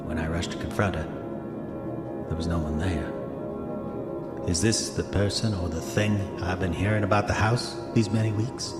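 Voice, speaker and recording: An actor playing a haunted man of an older century, gravely and formally reading his murder confession in a horror audio drama. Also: low voice, roomy sound, over loud music, close to an everyday voice. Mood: haunted, uneasy. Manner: grave, formal, measured storytelling. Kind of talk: audio drama diary monologue.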